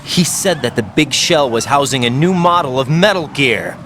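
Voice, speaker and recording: A man's voice: medium pitch, lively intonation, moderate pace, fairly bright tone, lightly acted. An actor playing a young male special forces operative in a video game cutscene, wary and always questioning. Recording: game mix with clear background noise.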